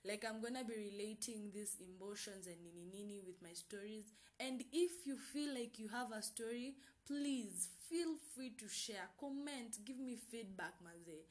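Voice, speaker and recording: A woman's voice: medium pitch, moderate pace, hardly any pauses. Kenyan woman, twenties, solo podcast host; bubbly hype-girl energy, code-switching chat. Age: 20s. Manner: bubbly, hyped, mixes Swahili slang into English. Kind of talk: casual solo podcast intro.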